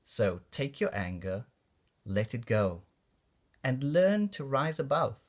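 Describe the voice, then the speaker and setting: A man narrates a children's storybook in rhyming verse. His medium-pitched voice moves up and down in a very animated way. He takes his time, delivering each phrase deliberately and expressively for young children.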